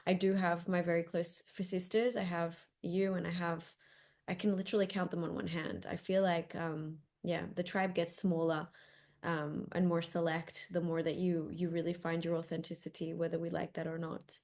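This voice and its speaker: A woman from Australia co-hosting a reflective chat, talking slowly with many pauses and restarts. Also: fairly low pitch, level tone, darkish tone. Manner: hesitant, many ums and restarts. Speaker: podcast co-host.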